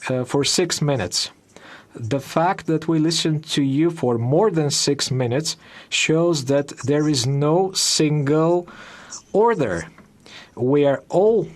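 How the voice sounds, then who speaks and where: A man answering in a debate, reproachful and insistent. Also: fairly high pitch. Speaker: parliament member. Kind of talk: debate rebuttal.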